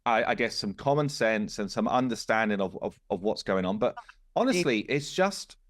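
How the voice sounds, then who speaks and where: Guy on a podcast, lowish voice, super dry recording, explaining stuff casually. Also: lively intonation, moderate pace, no echo. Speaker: game studio founder and podcast host.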